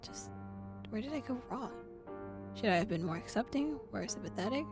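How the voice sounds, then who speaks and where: A woman's voice: on the high side, lively intonation, moderate pace, over music. A woman voicing a lonely, anxious young male demon in a game, reading his thoughts in the first person. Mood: rueful, pleading.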